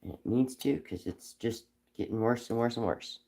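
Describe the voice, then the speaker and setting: A man with a cold and a raspy voice, chatting casually on a live stream while whittling wood, rambling with frequent ums. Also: mid-range voice, normal speed, dark tone. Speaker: livestream host whittling wood.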